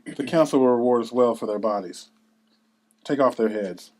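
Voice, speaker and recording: A man's voice: medium pitch, moderate pace, darkish tone, close to an everyday voice. A cold, contemptuous swordsman villain read by a man. His voice sits in a middle register, in a table read of a drama script. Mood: cold, menacing.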